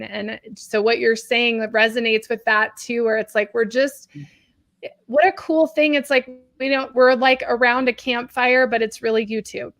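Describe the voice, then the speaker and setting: Upbeat woman host on a live stream, kinda high voice, dry sound, gushes a lot. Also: no echo. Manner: bubbly, effusive, rising with excitement. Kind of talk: live online show hosting.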